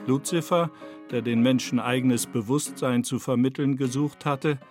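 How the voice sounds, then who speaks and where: A man reading a scripted essay as a radio narrator, with measured, rhetorical literary phrasing. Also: medium pitch, steady tone, darkish tone. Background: music with guitar.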